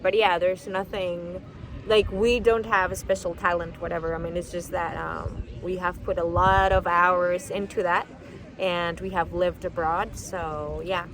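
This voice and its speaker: A woman's voice: fairly low pitch, fairly even intonation, a little slow, fairly bright tone. Chatty Spanish-speaking woman, street noise. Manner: chatty, many fillers like "like" and "you know". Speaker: podcast host. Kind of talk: casual interview chat.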